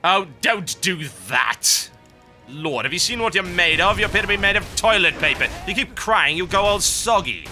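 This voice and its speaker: A man's voice: sits high, lively intonation, moderate pace, pushed and bright, soft background music, full character voice. A man voicing a pompous old British army officer in a haughty, clipped posh British accent, while playing a co-op game. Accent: put-on posh British. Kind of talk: in-character co-op game commentary.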